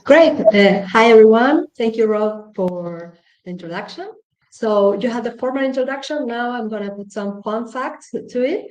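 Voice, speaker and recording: A woman's voice: fairly high pitch, lively intonation, moderate pace, a little mellow. Argentine woman, roomy echoey sound. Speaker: guest presenter, data visualization consultant. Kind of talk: informal self-introduction to an audience. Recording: roomy echo on online call.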